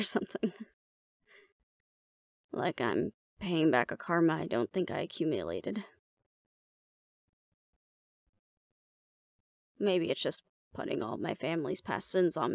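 Woman playing a teasing fighter girlfriend in an audio rp, lowish creaky voice, swings around a lot, chatty and close. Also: very animated, normal speed, no echo. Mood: hesitant, pensive. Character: battle-seasoned woman, fond, teasing mate of a werewolf. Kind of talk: roleplay audio drama, casual intimate dialogue addressed to the listener.

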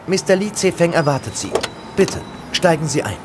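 A reserved male chauffeur and fighter, played by an actor, pitched a little high. He answers in short, deferential phrases, with faint noise behind him in an audio drama. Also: lively intonation, normal speed, lightly acted. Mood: reserved, polite. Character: terse, polite male martial-artist chauffeur and servant.

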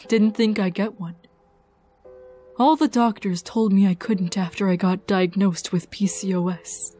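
A woman voicing a grief-worn, sardonic scientist in a fan audio drama, halting, wry and guarded. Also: medium pitch, warm tone.

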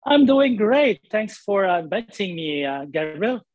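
A man's voice: high-pitched, unhurried, no echo, highs cut off. A man in his forties from the Philippines with a Filipino accent, a guest painter chatting casually in an interview over a video call from his home studio. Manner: relaxed, rambling, with frequent laughs and fillers. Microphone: webcam on video call.

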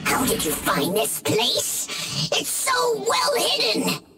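Sly little masked monster mage, video game villain; wildly swinging, drawn-out delivery, slightly distant. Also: female voice, extremely high pitch, wildly swooping pitch, over loud music, full character voice. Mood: startled suspicion. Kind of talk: video game cutscene acting.

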